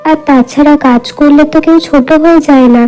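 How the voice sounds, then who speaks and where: High-voiced, humble village wife played by a woman. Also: steady tone, brisk, warm tone, faint music behind, close to an everyday voice, low-quality recording. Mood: gentle, reassuring. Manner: polite, earnest, deferential. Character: poor, humble, kindly village wife seeking work. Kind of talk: Bengali moral-tale cartoon dubbing.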